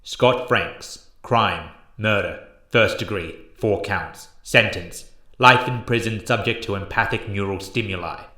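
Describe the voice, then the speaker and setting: A man's voice: fairly low pitch, a little slow, sharp tone, roomy sound. A man voicing a cold, disembodied prison voice that announces sentences in a clipped, official way for an audio horror story. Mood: cold, impersonal.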